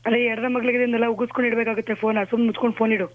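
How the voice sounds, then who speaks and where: Fast-talking, scolding woman. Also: fairly high pitch, flat delivery. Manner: curt, scolding, impatient. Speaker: prank call recipient. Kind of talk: argumentative phone conversation. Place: on a telephone call.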